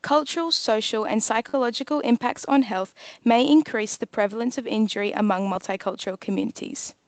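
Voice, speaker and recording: Woman host, higher voice, reading a measured scripted introduction, dry sound. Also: dry recording. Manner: measured, reading a prepared text. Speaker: webinar host.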